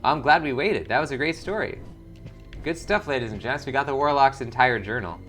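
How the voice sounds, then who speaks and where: A man's voice: mid-range voice, lively intonation, a little fast, a bit bright, faint music behind. A man hosting a gaming video with casual, informal commentary, thinking aloud as he plays. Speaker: gaming channel host.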